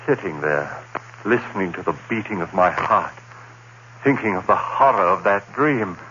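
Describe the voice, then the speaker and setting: A man playing a terrified condemned prisoner recounting his nightmare in halting, anguished phrases, in the style of 1940s radio horror. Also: low voice, animated, pushed and bright, lo-fi sound. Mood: brooding fear. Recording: noisy, band-limited old radio recording.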